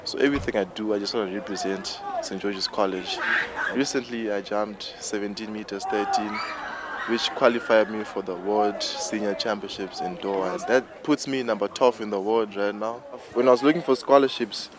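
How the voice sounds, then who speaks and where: A man's voice: on the low side, fairly even intonation, normal speed. A man in his twenties with a Zimbabwean accent, giving a casual interview account as an athlete. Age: 20s. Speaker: triple jumper, former student. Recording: crowd and outdoor noise behind.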